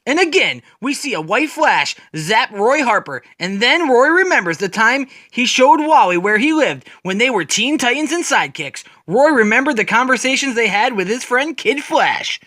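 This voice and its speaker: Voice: medium pitch, very animated, moderate pace, few pauses, sharp tone, dry recording, studio quality. A person giving a dramatic, scripted narration as a storyteller, retelling comic book scenes in the present tense.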